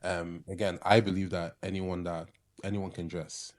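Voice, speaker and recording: A young man from Ireland, a stylist guest chatting casually on a podcast, full of fillers and quick laughs. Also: low voice. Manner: laughs often, many "like" fillers, relaxed and self-mocking.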